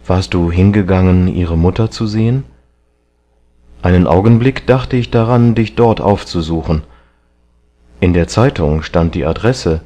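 Guy reading as sad gentle painter in an audiobook, low slow voice, strained, reproachful. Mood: worried, compassionate. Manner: hushed, strained, earnest. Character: grieving, reproachful male painter, earnest and gentle.

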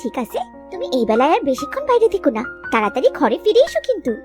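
A woman playing a nagging but devoted village housewife in a cartoon, expressive, shifting between scolding and coaxing. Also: high voice, very fast, over music. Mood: coaxing, caring. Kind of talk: cartoon voice acting.

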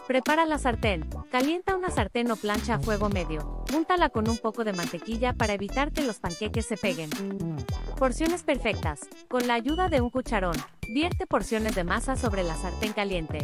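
A woman with a fairly high voice reads a cooking tutorial as a smooth, upbeat voice-over. Background music plays clearly under her. Her delivery is evenly paced and polished. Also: big pitch swings, normal speed. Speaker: recipe narrator. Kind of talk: scripted voice-over narration.

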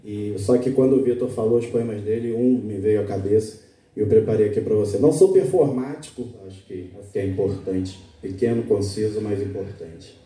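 A Brazilian man with a low, very dark and mellow voice speaks at an open-mic poetry night. He talks easily with plenty of fillers before reciting. He sounds distant and echoey, caught by a camera across the auditorium. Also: brisk. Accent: Brazilian Portuguese. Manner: relaxed, talks with many fillers, then recites steadily. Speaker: poet. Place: small auditorium stage, indoors. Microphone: stand mic, recorded by a far camera.

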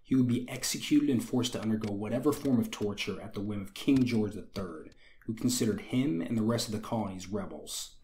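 A young man with a slightly raspy, hoarse voice, hosting a history channel and narrating from a script with wry conversational asides. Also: on the low side, a little fast, mellow, a little hiss or hum. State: recovering from illness, slightly hoarse.

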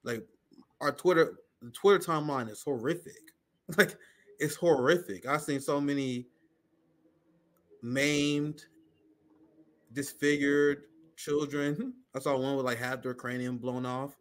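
A man's voice: mid-range voice, fairly even intonation, unhurried, pauses often. A man in his thirties co-hosting a casual reaction show over a video call, heated and scornful, breaking into laughs between points. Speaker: podcast co-host. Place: home room. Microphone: video call webcam.

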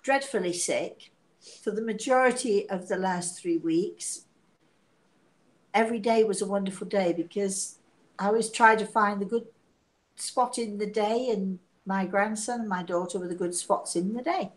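Host, sixties woman, raspy sick voice, warm rambling chatter, home tablet mic. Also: medium pitch, moderate pace, rough recording. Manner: chatty, laughing, self-mocking. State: hoarse from illness, coughing. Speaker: psychic medium hosting a live show. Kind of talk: casual live-stream chat. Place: living room at home. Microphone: device camera at arm's length.

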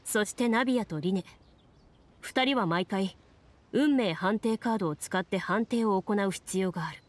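A woman's voice: medium pitch, lightly acted. Composed, formal young woman actress as game master. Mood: calm, formal. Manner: calm, formal, deliberate explaining. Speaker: game master narrating a tabletop game. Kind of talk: anime video game acting, storytelling narration. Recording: music under the voice.

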